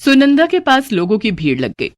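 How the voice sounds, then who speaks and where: A woman narrating a children's cartoon moral story in steady, simple scripted storytelling. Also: fairly high pitch, a little fast.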